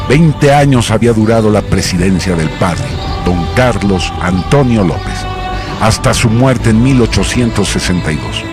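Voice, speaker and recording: A man narrating a radio drama from a script in a solemn, declamatory, dramatic way. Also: lowish voice. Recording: loud music bed under the narration.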